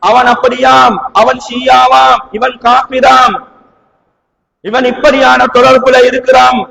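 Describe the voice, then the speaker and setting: High-pitched man preaching in a reverberant hall. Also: gravelly, a bit bright. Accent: Sri Lankan Tamil. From Sri Lanka. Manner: emphatic, with rhetorical questions and Arabic recitation. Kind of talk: sermon. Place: mosque hall.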